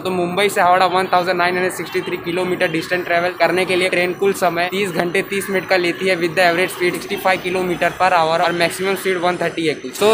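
A young man narrating a casual train travel vlog, giving facts and mixing English phrases into his talk. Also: high voice. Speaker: travel vlogger. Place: railway station and train coach, partly voice-over. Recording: loud station and train noise behind.

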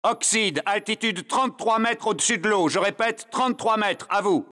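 A man's voice: sits high, normal speed, bright, forceful tone, in a large hall. A man playing an alert soldier on patrol in a video game, reporting in clipped, precise radio language. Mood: alert, urgent.

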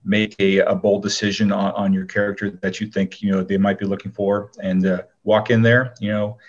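A man's voice: fairly low pitch, flat delivery, brisk, dull audio. A man speaking as an interview guest, giving casual advice in a rambling way full of "you know".

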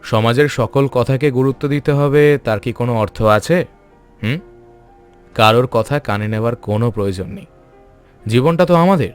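A man voicing a principled, kindly scholar and reformer in a cartoon, speaking in an earnest, measured, resolute way. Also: mid-range voice, smooth, soft background music, lightly acted. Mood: firm, reassuring. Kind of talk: dramatic cartoon voice acting.

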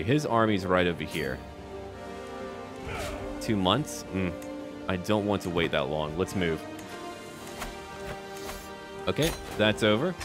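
A man with a deep voice gives casual commentary while playing a strategy game. His pitch moves around a lot as he muses aloud and talks to the characters in short remarks. Faint music plays behind him. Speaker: gaming video creator playing a strategy game. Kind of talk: casual let's play commentary.

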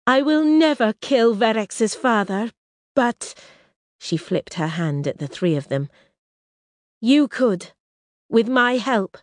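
Woman voicing a demanding young princess, high and forceful. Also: animated, slow pace, long pauses, dry recording, clean studio sound. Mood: insistent. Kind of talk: audiobook character reading.

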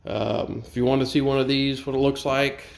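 A man giving a casual walkthrough of a renovation project, thinking aloud in a halting way as he films with a handheld camera. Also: medium pitch, steady tone. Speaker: railroad volunteer presenting a renovation project. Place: inside a metal railroad caboose. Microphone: handheld camera, held by speaker. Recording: clearly noisy, ambient sound inside railcar.

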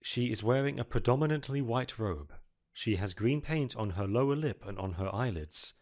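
A man giving scripted narration for a visual novel story. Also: fairly low pitch.

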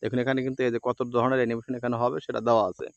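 A man's voice: mid-range voice, flat delivery, racing, vocal fry, no room sound, lo-fi sound. A man presenting an informal step-by-step editing tutorial, with repetitive instructional phrasing.

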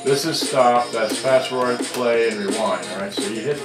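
A man's voice: medium pitch, moderate pace, roomy sound, loud music behind the voice. An older man giving a casual, offhand live demonstration at a workbench, rambling and thinking aloud. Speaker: presenter demonstrating a vintage cassette radio for sale. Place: indoors at a cluttered workbench.

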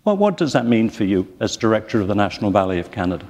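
An older man interviewing a guest onstage before an audience, speaking in a measured, gently wry way. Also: lowish voice, sing-song. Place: library event hall with audience. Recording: hall with long reverb.

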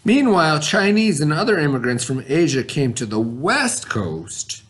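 A middle-aged man with an American accent speaks in a mid-pitched voice. He reads aloud to young pupils like a schoolteacher, stopping to explain and check that they follow. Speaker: elementary school teacher. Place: indoor school classroom.

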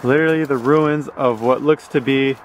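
A man's voice: mid-range voice, steady tone, normal speed, a little hiss or hum. A man in his twenties vlogging to his viewers, upbeat and hyped, filming himself outdoors in the woods at arm's length. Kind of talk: energetic vlog shout-outs and hiking commentary. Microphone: handheld camera at arm's length.

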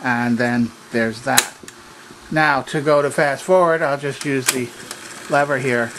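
A man presenting an unscripted hands-on technical demonstration in a halting, methodical, explanatory way. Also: medium pitch. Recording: noticeable background noise.

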